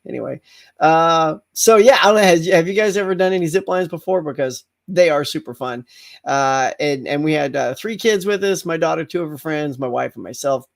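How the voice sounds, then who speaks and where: A man's voice: fairly high pitch, fairly quick, vocal fry. A middle-aged man hosting a casual live morning chat, slightly congested and rambling with fillers as he talks to viewers. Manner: rambling, chatty, lots of fillers and restarts.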